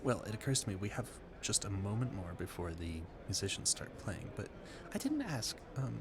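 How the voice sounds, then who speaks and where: Man acting a flustered, low-voiced romantic gentleman. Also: sing-song, moderate pace, noisy background. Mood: nervous, courteous.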